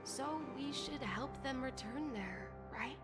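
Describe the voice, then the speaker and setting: A woman playing a gentle, dreamy young woman in a video game, soft and wistful. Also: high voice, lively intonation, slow, over loud music. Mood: wistful, tender. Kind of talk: video game character acting.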